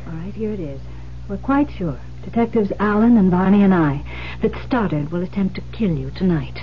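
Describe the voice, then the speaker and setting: A woman playing a composed, determined confidante in an old-time radio thriller, earnest, deliberate and reassuring. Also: mid-range voice, expressive, close to an everyday voice. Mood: grave, steadying. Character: Iris, composed, determined woman coaching a frightened friend. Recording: muffled old radio recording with noise, hum.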